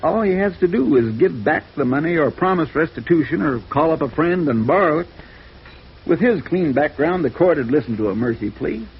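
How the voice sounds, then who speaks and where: A man's voice: on the high side, expressive, fairly quick, husky, heavily acted. A male actor playing a troubled, conscientious defense attorney, world-weary and emphatic, in a 1950s radio drama. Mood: frustrated, pleading. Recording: dull, noisy vintage radio recording.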